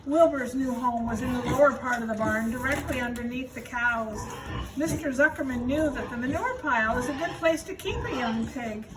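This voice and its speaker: A woman's voice: sits high, moderate pace. A woman reading a children's story aloud as narrator. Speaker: storybook reader, school staff. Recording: noisy room, distant microphone.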